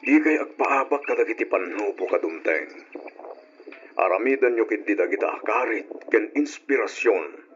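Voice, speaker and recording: A man's voice: on the low side, expressive, moderate pace, a little hiss or hum, lightly acted. An actor playing an ailing, stern but loving old rural father in an Ilocano radio drama, weary and admonishing. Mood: earnest, admonishing. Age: older. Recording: dull lo-fi old radio recording.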